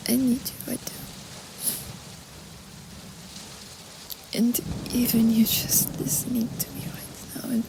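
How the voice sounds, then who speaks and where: A woman playing a vulnerable young French roommate in an intimate roleplay audio, speaking in a breathy, halting, tender way with a French accent. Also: fairly high pitch, low-quality recording. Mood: vulnerable, pleading. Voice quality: breathy, whispery.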